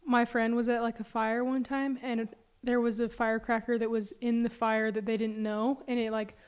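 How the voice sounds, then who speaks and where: Soft young woman, smooth flat voice. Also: on the high side, normal speed. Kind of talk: casual chat.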